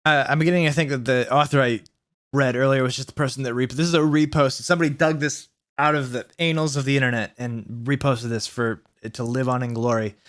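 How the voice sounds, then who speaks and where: A man's voice: mid-range voice, fairly even intonation, brisk, a bit bright, no room sound, studio quality. A man hosting a read-aloud of fan fiction, delivering it theatrically with exclaimed quotes and mocking asides of his own. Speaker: host reading fan fiction aloud as a challenge.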